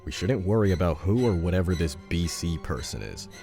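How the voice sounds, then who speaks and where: A man's voice: low voice, lively intonation, a little fast, dark tone. A man playing a huge, level-headed teammate with a dry, blunt way of talking. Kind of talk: audio fiction acting. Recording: music bed behind the voice.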